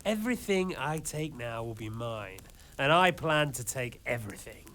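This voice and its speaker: An actor playing a scheming mercenary lord who taunts a captive with silky menace. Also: male voice, medium pitch, sing-song, normal speed, fairly bright tone. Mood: menacing. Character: smug scheming mercenary lord, adult man. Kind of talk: game cutscene acting.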